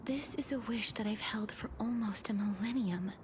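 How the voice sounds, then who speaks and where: An actress voicing a lonely, solemn, millennium-old woman of great power in a soft, breathy, measured game performance. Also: medium pitch, lightly acted. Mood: solemn resolve. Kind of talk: dramatic video game voice acting. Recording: game audio with clear background noise.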